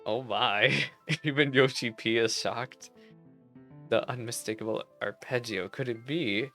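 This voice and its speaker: Excitable game streamer over music. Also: medium pitch, measured pace. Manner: excitable, exclaiming and rambling. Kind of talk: reading game dialogue aloud with excited live reactions. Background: video game music.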